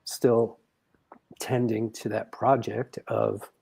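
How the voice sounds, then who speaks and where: A man in a reflective coaching conversation, explaining things in measured, deliberate phrases. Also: lowish voice, level tone, vocal fry, dark tone, husky, low-quality recording.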